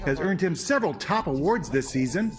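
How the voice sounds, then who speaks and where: A man delivers a scripted news report as a TV correspondent. His voice is notably high for a man, with very animated, varied intonation. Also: a little fast, music in the background.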